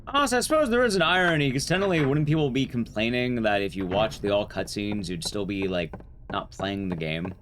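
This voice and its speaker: A man's voice: on the low side, animated, a little fast, noisy background. A man streaming a game, giving casual, rambling commentary to his chat with playful asides. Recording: loud music under the voice. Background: game and stream music.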